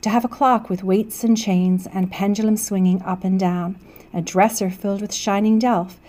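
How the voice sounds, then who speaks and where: A woman's voice: medium pitch, dark tone. A woman, a teacher reading poetry aloud.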